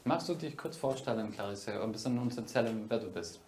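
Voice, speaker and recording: A man's voice: on the low side, fast talker, a little mellow, a little hiss or hum. Hesitant male festival host. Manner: hesitant, many fillers and restarts, switches languages. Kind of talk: casual moderated talk.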